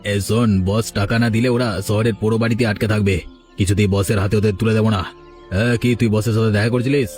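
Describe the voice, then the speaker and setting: A man's voice: lowish voice, steady tone, a little fast, darkish tone, natural voice. A man playing a bossy, scheming criminal in a cartoon story, talking in a familiar, commanding way. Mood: conspiratorial. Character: scheming male village trafficker and his masked boss. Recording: music behind the voice. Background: background music.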